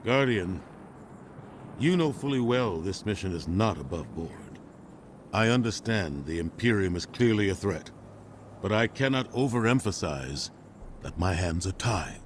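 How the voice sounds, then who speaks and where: A grave, dutiful veteran commander from a video game. He speaks low and slowly, with solemn, deliberate authority. A faint ambient rumble sits behind him. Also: lively intonation. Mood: grave, regretful. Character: grave, dutiful older male military commander. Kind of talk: dramatic video game voice acting.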